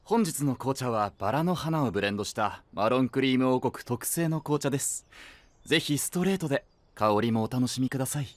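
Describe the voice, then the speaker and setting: A male actor as a courteous royal attendant serving tea in an anime audio drama. He speaks formally and with measured politeness in a medium-pitched voice. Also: lively intonation, close to an everyday voice.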